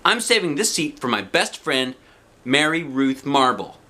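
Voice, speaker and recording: A man's voice: medium pitch, sing-song, a little slow, sharp tone, pitched above the performer's own voice. A man voicing a bossy, unfriendly little girl in a children's storybook. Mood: bossy, refusing.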